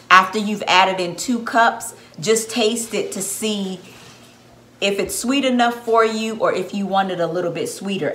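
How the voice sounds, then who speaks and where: A woman in her thirties talks through a recipe in a relaxed, chatty way, her voice a little low for a woman. Her home kitchen echoes around her and the camera sits back on the counter. A faint room hum runs under her voice. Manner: chatty, explains steps to viewers. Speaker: home baker, channel host. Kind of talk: casual cooking demonstration. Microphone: camera on the counter, at arm's length.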